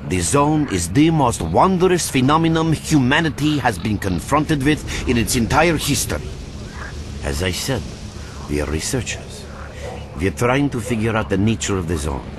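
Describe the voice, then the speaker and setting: An actor playing the earnest leader of a secretive research faction in a video game, explaining in a measured way. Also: male voice, on the low side. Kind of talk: video game character dialogue, expository. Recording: clearly noisy ambient background.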